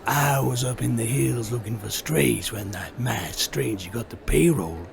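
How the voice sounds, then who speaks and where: A man's voice: mid-range voice, measured pace, creaky. A man playing a folksy, suspicious cowhand in a comic-book Western audio drama. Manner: folksy cowboy speech. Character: folksy, suspicious cowhand eager for frontier justice. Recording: music behind the voice.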